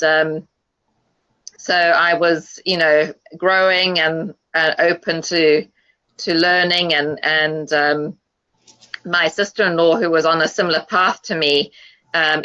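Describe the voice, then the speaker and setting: A woman from South Africa, a guest in a casual online panel chat, speaking hesitantly with many pauses and fillers. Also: sits low, bright, forceful tone, clear, resonant tone. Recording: dull lo-fi web call audio.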